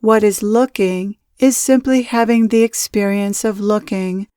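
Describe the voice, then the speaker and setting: A woman guides a spiritual meditation in a smooth, clean voice at a middling pitch. She speaks in deliberate, instructional phrases, each one measured out. The sound is dry, with no room around it. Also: fairly even intonation, dark tone, no echo.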